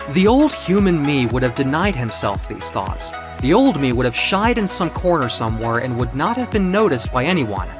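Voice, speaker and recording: A man narrating a scripted first-person story in a dramatic, emotive way. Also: a little high.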